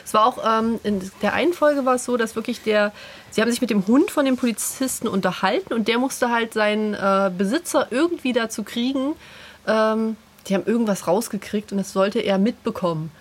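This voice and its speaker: A woman's voice: a little high, brisk. A woman chatting casually and nostalgically in a group, with an enthusiastic, gushing manner. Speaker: podcast co-host.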